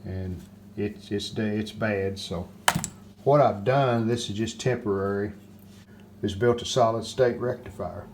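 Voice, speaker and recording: An older man giving a hands-on repair walkthrough in plain-spoken, halting phrases. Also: lowish voice, darkish tone, a little hiss or hum.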